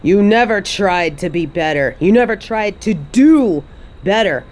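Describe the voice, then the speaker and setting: A woman's voice: mid-range voice, lively intonation, unhurried, darkish tone, lightly acted. A woman playing a fed-up, defiant mother confronting her toxic ex in a dramatic audio roleplay, scornful and emphatic.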